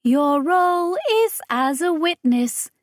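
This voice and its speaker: Woman as high-pitched, ceremonious alien majordomo. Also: takes it slowly, dry recording, clean studio sound. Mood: stern. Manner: solemn, archaic, ceremonious. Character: reverent, formal alien hive-being serving as majordomo.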